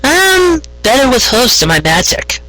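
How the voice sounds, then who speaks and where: Male reader as female unicorn, raised pitch, playful, teasing, lively swings, storybook character. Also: measured pace, no echo, exaggerated voice, rough recording. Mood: matter-of-fact. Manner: teasing, matter-of-fact.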